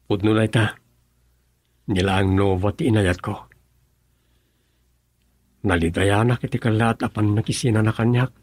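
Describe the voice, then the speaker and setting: A man's voice: fairly low pitch, fairly even intonation, normal speed, long pauses, no echo, lightly acted. A man acting an earnest, lovelorn young villager in a radio drama, with an Ilocano Filipino accent. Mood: heartbroken.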